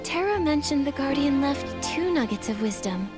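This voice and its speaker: A woman's voice: high-pitched, expressive, normal speed. A woman voicing a kind young heroine in a video game, speaking politely and sincerely. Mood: warm, bright. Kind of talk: video game character dialogue. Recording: game music behind the voice.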